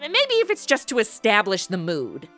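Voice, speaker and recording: A woman's voice: mid-range voice, wildly swooping pitch, normal speed, fairly bright tone, soft background music. A woman hosting and acting in a playful radio comedy, with a perky, modern, slangy delivery. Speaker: show host and lead actress.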